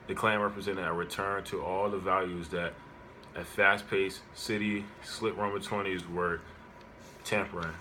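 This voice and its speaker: A man with a low, bright-edged voice delivers a prepared informative speech. He reads it aloud in halting, broken phrases, with some background noise around him. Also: low-quality recording. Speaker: student speaker giving an informative speech.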